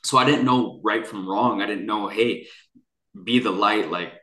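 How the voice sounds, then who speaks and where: Earnest low-voiced man, echoey room. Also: a little slow, sharp tone. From Canada. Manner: earnest, rambling with restarts and fillers. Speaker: guest. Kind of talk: conversational interview storytelling.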